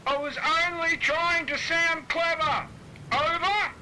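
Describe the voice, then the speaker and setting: A man voicing a dim, cheerful male island manager, with a slow gormless drawl. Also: very high voice, steady tone, bright, forceful tone, a little hiss or hum, pitched above the performer's own voice, exaggerated voice, poor audio. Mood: dopey.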